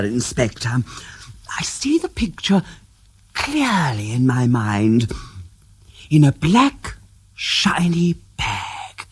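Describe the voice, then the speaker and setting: An actor playing a self-assured clairvoyant in a radio crime drama, mysterious and knowing. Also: male voice, medium pitch, husky, exaggerated voice.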